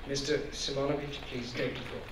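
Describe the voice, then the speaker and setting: A man's voice: mid-range voice, level tone, a little fast, a crowd in the background. Man introducing a speaker in an echoey hall. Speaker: advocacy director introducing a speaker. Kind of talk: event introduction speech. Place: large indoor event venue with stage. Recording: echoey hall from far off, noisy.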